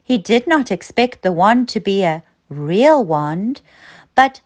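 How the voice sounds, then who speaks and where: Slow, expressive woman telling a children's story. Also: mid-range voice. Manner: expressive, dramatic emphasis.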